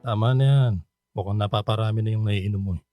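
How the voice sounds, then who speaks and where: Mellow, guilt-ridden Filipino man, played by an actor. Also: on the low side, steady tone, quick pace, no room sound, soft background music, lightly acted. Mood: concerned. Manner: hesitant, sombre, earnest. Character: guilt-ridden older man torn over a forbidden love. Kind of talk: radio drama acting and narration.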